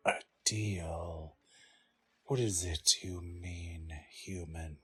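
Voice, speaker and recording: A man acts out a proud leader of the wolf folk in an audio roleplay. His voice sits low and is very raspy, with slow, measured delivery. He speaks formally and warily, like someone responsible for his people. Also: steady tone, sharp tone, exaggerated voice. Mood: grave, wary. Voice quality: husky, raspy.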